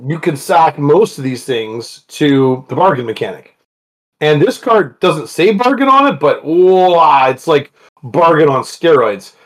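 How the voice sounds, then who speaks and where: A man with a fairly high speaking voice hosts a casual podcast from home, talking with a co-host over the internet. He chats eagerly and breaks into laughs along the way. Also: sing-song, measured pace, poor audio. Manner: chatty, laughs often, enthusiastic.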